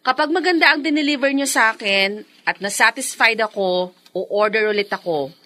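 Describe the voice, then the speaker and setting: An actress playing an eager, chatty adult daughter in a Filipino radio drama, speaking emphatically and conversationally. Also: female voice, fairly high pitch, normal speed, pushed and bright. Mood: curious, businesslike.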